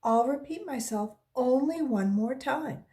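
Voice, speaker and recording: A woman's voice: sits high, expressive, a little slow, mellow, voice pushed up. A woman voicing a firm, earnest female schoolteacher in a storybook, speaking emphatically and instructively.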